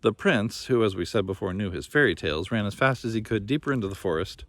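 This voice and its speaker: A man's voice: on the low side, animated, quick pace, no room sound. A man narrating a children's fairy tale expressively as a storybook reader. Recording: noticeable background noise.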